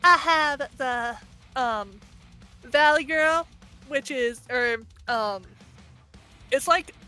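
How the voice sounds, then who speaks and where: A woman from Texas with a Michigan accent, chatting excitably as a streamer host. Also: sits high, very slow, faint music behind. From Texas, United States. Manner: excitable, bursts into loud protests. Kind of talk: casual stream chat.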